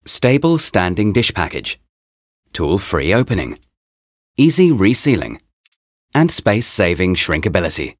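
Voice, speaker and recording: A man reading a scripted promotional voice-over in short, deliberate, presentational phrases, as a product narrator. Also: sits low, sing-song, no room sound, clean studio sound. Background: background music.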